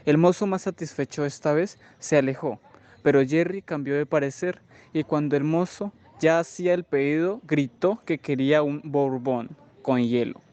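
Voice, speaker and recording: An actor playing an aggrieved army sergeant, recounting events in deliberate detail in a radio drama. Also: male voice, fairly high pitch, a little mellow, lightly acted. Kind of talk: radio drama narrative monologue.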